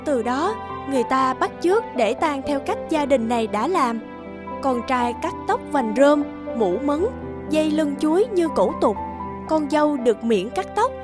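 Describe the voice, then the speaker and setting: A woman narrating a scripted folk tale in storytelling style. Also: high-pitched. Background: music.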